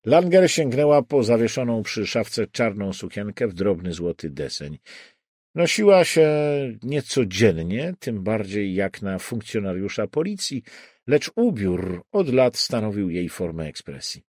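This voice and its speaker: Slow, expressive low-voiced male thriller narrator. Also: dry recording, clean studio sound. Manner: deliberate, suspenseful delivery with dramatic pauses. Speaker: audiobook narrator. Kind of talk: dramatic scripted narration of a crime thriller.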